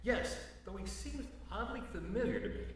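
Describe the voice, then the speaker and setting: A man's voice: high-pitched, lively intonation, normal speed, distant mic, a little hiss or hum. A man playing an earnest, heroic old Santa Claus in a comedic audio drama, with a dramatic, declamatory delivery. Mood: indignant, secretive. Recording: very echoey room, slightly off mic.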